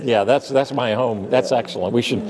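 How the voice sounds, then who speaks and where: A man's voice: medium pitch, moderate pace, a little mellow. A man hosting interviews at a conference, friendly and encouraging, nudging his guest along with frequent short affirmations. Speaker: interview host, managing editor. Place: busy conference venue. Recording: noisy conference floor.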